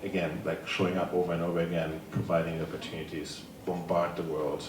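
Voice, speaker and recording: A man's voice: sits low, flat delivery, a little fast. A man from Germany with a German accent gives a conference presentation, telling stories in a casual, upbeat way and answering questions. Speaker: table tennis program leader presenting. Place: conference room. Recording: echoey room, from far off, noisy.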